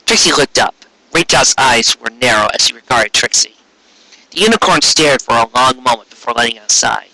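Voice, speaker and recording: A man reading a story aloud as narrator, with a husky voice. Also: medium pitch, expressive, brisk, bright, forceful tone, low-quality recording. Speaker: amateur fan fiction reader.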